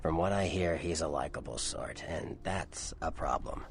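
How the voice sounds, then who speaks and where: An actor voicing a cynical, streetwise vampire informant in a video game, gravelly, wry and blunt. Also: male voice, very deep voice, normal speed, a little hiss or hum. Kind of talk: video game character dialogue.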